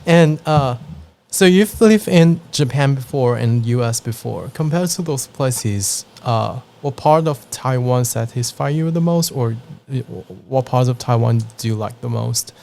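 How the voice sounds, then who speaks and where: Male podcast host, mid-pitched, asks brief questions and restarts a few. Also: animated, unhurried, gravelly, few pauses, mellow. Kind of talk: casual interview.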